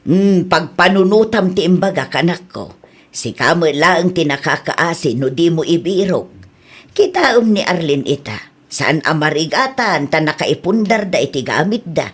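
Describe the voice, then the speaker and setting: A woman's voice: on the low side, animated, moderate pace, breathy edge. A woman playing a caring mother with an Ilocano accent who gives patient advice in an audio drama. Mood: advising. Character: caring middle-aged mother, gently advising.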